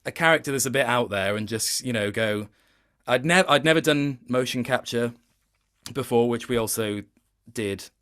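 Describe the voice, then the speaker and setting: A man with a medium-pitched voice chats casually as an interview guest. He sounds like a working actor talking shop, thoughtful and a little self-deprecating, picking his way through each point. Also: moderate pace, a bit bright, clean tone.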